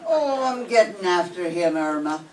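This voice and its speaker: A woman's voice: lowish voice, expressive, normal speed, a little mellow, slight background noise, lightly acted. An older woman reading the part of a chatty widowed landlady in a radio sitcom, gossipy and motherly, recorded from far off in a roomy classroom. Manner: gossipy, motherly, calls others dearie. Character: chatty widowed landlady Mrs. O'Reilly, vain about her age. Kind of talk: 1940s radio sitcom acting.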